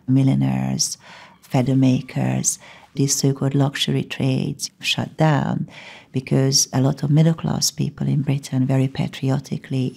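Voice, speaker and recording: Lady lecturer, really gravelly husky voice, calm explaining academic talk. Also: very deep voice. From Hungary. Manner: measured, lecture-like, unhurried explaining. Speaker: historian and university lecturer. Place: conference venue.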